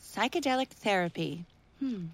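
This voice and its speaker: A woman playing a calm, formal, imperious bug entity that lives inside a man's head, in an audio drama. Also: mid-range voice, moderate pace. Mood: cool, detached. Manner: cool, formal, detached, imperious. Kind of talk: audio fiction acting. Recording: voice under loud music.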